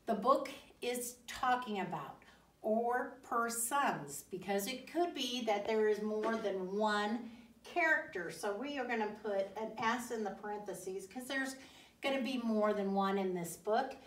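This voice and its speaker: Female elementary teacher, higher-pitched, unhurried and didactic, asking pupils questions in an echoey room. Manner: didactic, deliberate, pausing and repeating. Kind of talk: classroom reading lesson with questions to pupils. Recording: roomy echo, distant mic.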